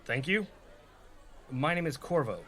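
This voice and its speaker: A man's voice: fairly high pitch, lively intonation, a little slow, a bit bright. An actor playing a sensible, skeptical rogue in a comedy radio play, a dry and exasperated straight man. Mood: awkward.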